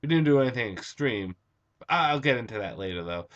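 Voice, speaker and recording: Hoarse, raspy young guy for an eager, rambling comic review on a webcam. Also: mid-range voice, animated, brisk, a bit bright, muffled recording. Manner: enthusiastic, chatty, with frequent um and uh. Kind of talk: casual video review. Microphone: computer webcam mic.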